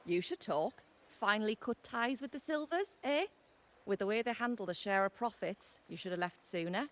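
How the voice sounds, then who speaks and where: An actress playing a brisk, no-nonsense female tavern keeper in a video game, blunt and wry. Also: mid-range voice, animated, fairly bright tone, natural voice. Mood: wry, sizing up. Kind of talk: video game character acting.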